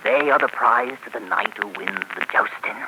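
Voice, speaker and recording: A fawning squire, as an actor plays him in an old radio play, servile and flattering toward his lord. His voice sits at an ordinary middle pitch. It comes through a noisy 1940s broadcast recording. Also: moderate pace, natural voice.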